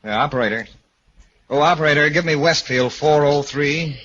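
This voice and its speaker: An actor playing an uneasy, suspicious husband in a 1940s radio drama, hesitant and questioning. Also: male voice, on the high side, moderate pace, fairly bright tone. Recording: dull, faintly noisy old radio recording.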